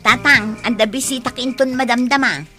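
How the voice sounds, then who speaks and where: A woman's voice: on the high side, moderate pace, over loud music, lightly acted. An actress playing a young village daughter exasperated with her father in an Ilocano radio comedy drama, with an Ilocano accent. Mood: announcing, insistent.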